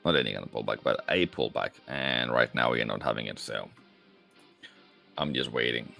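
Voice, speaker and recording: Low gravelly man hosting a trading stream. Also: moderate pace, sharp tone. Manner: casual, chatty, answers viewers directly, calls them mate. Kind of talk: live trading commentary. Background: faint background music.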